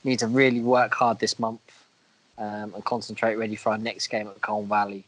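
A man with a croaky voice from a cold, co-hosting a podcast in casual, self-deprecating chat. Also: fairly low pitch, little pitch movement, normal speed, a bit bright, low-quality recording. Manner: chatty, self-deprecating, a bit crude. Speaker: podcast co-host.

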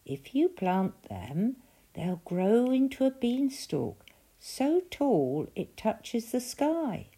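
A woman's voice: a little high, very animated, slow pace, warm tone, slightly rough, pitched above the performer's own voice, heavily acted. A woman voicing a strange, sly little man with a coaxing way of talking.

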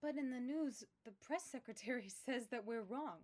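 A woman playing an excitable young girl reading her letters with expressive emphasis in an audio play. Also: fairly high pitch, moderate pace, lightly acted. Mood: concerned.

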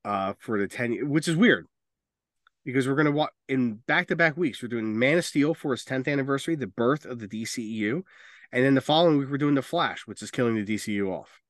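A man's voice: medium pitch, lively intonation, brisk, no room sound, clean studio sound. A man hosting a casual movie podcast, talking informally and bluntly, with strong opinions and plenty of swearing. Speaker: podcast host.

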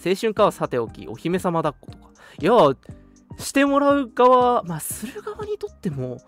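A man's voice: high-pitched, wildly swooping pitch, moderate pace. A young adult man hosting a casual radio chat, reflective and teasing in his manner. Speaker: radio show host. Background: background music.

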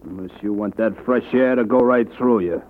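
A man's voice: on the low side, moderate pace, close to an everyday voice. An actor playing a gangster's henchman in a 1950s radio drama, blunt and threatening. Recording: tinny old radio broadcast with hiss.

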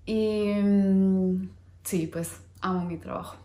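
A woman's voice: mid-range voice, steady tone, slow pace, dark tone, reverberant. A woman in her thirties hosting a podcast, chatting casually about herself with frequent hesitations and little laughs. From Colombia. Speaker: podcast host. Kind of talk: casual personal talk.

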